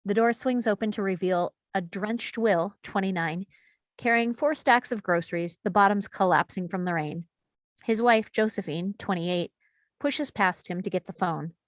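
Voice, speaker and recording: A woman's voice: medium pitch, normal speed, no room sound, studio quality. A woman reading stage directions as scripted narration at a screenplay table read.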